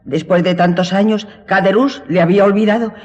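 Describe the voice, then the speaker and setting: A performer in a radio drama playing an innkeeper's sharp, grasping wife with a husky voice. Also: medium pitch, steady tone, a little fast, in a large hall, muffled recording, lightly acted. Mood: pious storytelling.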